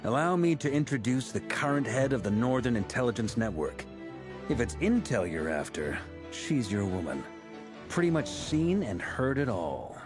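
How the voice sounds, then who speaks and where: A man voices an affable young knight from a video game, a born schemer with a breezy, persuasive delivery. His pitch swings in animated, theatrical arcs, with music playing clearly behind him. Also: normal speed, natural voice. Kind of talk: video game dialogue acting. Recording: background music under the voice.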